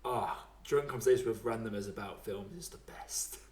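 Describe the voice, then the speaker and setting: A man's voice: on the low side, normal speed, a crowd in the background. A man hosting a casual film podcast, talking in a blokey, slangy way. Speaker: podcast host. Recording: echoey, roomy sound.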